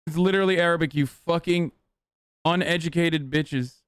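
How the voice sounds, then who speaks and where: Streamer: smooth-voiced, profane, sarcastic man in his thirties, dry close mic. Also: fairly high pitch, no echo. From United States. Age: 30s. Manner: profane, sarcastic, quick banter. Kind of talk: casual live-stream reaction.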